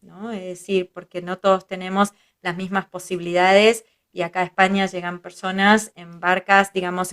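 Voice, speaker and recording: A woman's voice: mid-range voice, fairly bright tone. A woman with an Argentine Spanish accent, whose first language is Hebrew, speaking as a guest psychologist in a reflective interview, thinking aloud and often adding tag questions. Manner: thoughtful, listing ideas with frequent tag questions.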